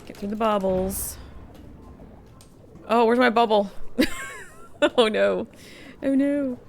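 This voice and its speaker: A woman's voice: a little high, slow, vocal fry, a little hiss or hum. A tired, slightly sniffly woman streaming a game, chatting casually, reading chat aloud and laughing often. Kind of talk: casual gaming livestream chat.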